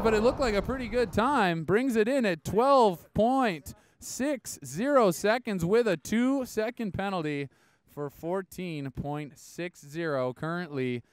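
A man's voice: high-pitched, expressive, takes it slowly, fairly bright tone. A man giving live sports commentary on a timed challenge in an indoor arena. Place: large indoor ice arena. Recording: noisy echoing ice rink ambience.

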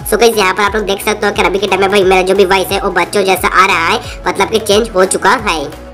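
Man as a childlike high voice-changer fan. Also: steady tone, quick pace, sharp tone. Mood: chatty. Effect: voice changer, raised to a child's voice. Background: background music.

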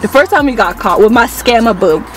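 A woman's voice: a little high, fairly quick, faint music behind. A young woman vlogger telling a gossipy storytime in a parked car, talking into a camera at arm's length. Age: young adult. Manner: animated and gossipy, full of quoted voices. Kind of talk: casual storytime.

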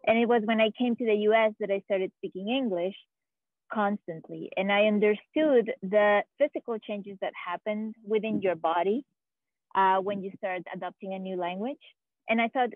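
A woman's voice: mid-range voice, normal speed, dry recording. Mexican-accented woman, 30s, reflective, hunts for words, dry tinny phone-like sound, earbud mic outside. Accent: Mexican Spanish. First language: Spanish. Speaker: ceramic artist. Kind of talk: recorded interview answer. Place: outdoors by a concrete wall.

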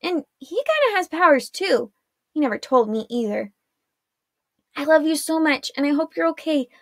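A woman's voice: high-pitched, sing-song, moderate pace, dry recording, studio quality, voice pushed up. A woman voicing a young girl, eager and worried. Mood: tender. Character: teenage girl Arella, earnest and anxious.

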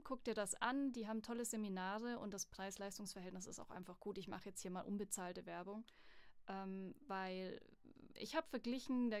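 A woman's voice: medium pitch, clear, resonant tone. A young woman talks in an easy, candid way, telling her story with plenty of life. She has a mid-range voice for a woman. The sound is dry and close, with no room echo, as in a small podcast studio. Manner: chatty, candid, lively storytelling. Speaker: voice acting student, guest. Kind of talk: casual podcast interview. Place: studio at a music academy.

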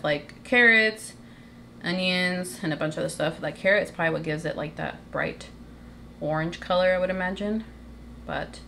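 A woman's voice: sits low, fairly bright tone, slight background noise. A young woman chatting casually in her home kitchen, showing groceries to the camera with lots of likes and ums. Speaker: vlogger. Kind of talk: casual grocery haul show-and-tell.

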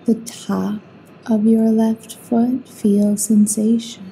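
Woman doing guided sleep meditation, super slow and very mellow soft tone, bit of background noise. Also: fairly high pitch, flat delivery, gravelly, rough recording. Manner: soothing, unhurried instruction with long pauses.

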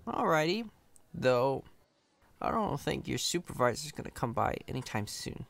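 A man's voice: medium pitch, big pitch swings, normal speed, gravelly, a bit bright. A man playing a chatty, cocky, friendly company employee in an ASMR roleplay, casual, reassuring and playfully boastful. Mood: easygoing, friendly.

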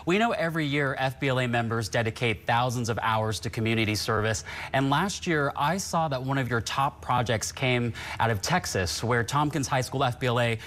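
A man's voice: medium pitch, a little fast, few pauses, fairly bright tone. A man whose first language is Vietnamese conducts a broadcast news interview in a polished, upbeat anchor manner.

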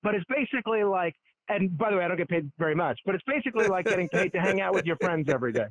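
A man calling into a radio show as a guest, quick and wisecracking. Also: high-pitched, expressive, fairly bright tone, no room sound. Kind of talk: phone-in interview. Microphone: phone. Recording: tinny phone line.

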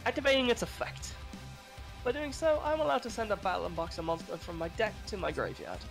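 A man's voice: high voice, lively intonation, brisk, creaky, fairly bright tone, faint music behind. A young man talking casually in character as he announces his moves in a card game roleplay. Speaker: opposing player in a card duel roleplay. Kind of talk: casual in-character gameplay talk.

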